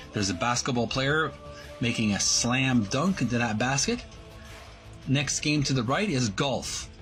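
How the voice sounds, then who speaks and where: Halting man presenting over music. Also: mid-range voice, fairly bright tone. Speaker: host of a retro video game channel. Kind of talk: read-aloud book walkthrough with commentary.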